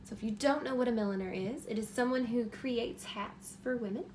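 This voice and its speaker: Female, very high voice, children's read-aloud teacher, simple prompting questions, some background noise. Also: a little fast, a little mellow. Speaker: teacher reading a picture book aloud to children.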